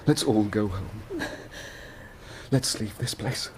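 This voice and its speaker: Forgiving husband, lowish voice, unhurried soothing delivery, period radio drama. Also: male voice, crowd noise. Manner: gentle, soothing, earnest. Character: tender, forgiving husband, humble and gently reassuring.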